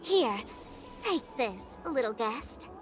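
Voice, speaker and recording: A woman's voice: on the high side, very animated, slow. An actress voicing a playful, sly female trickster posing as a hostess in a video game, over music. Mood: sweetly sly. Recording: game music playing behind.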